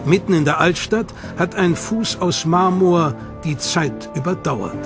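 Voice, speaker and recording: High-voiced man narrating a documentary. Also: unhurried. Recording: narration over loud music.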